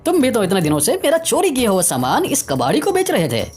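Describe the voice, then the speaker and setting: A woman's voice: fairly high pitch, wildly swooping pitch, fast talker, a little mellow. A woman voicing a grumbling, vengeful male village thief in a cartoon story. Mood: accusing. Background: music.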